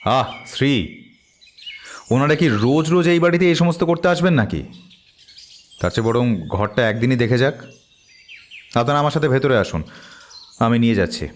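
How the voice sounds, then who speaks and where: A man playing a hesitant, somewhat defensive married householder in an audio detective drama, mild and halting. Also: mid-range voice, animated, slight background noise, close to an everyday voice.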